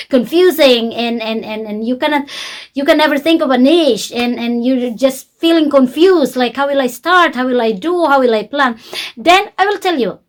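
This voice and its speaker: A Filipino-accented woman speaks in a slightly high voice as an online coach. She uses simple, deliberate English as a second language. Her informal delivery is emphatic, with key points repeated for the viewers. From Philippines. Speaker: YouTube coach.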